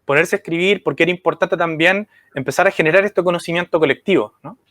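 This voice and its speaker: A man's voice: a little high, quick pace, fairly bright tone, dry recording, clean studio sound. A man hosting a live-stream interview, giving short affirmations and asking long, winding questions. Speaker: host.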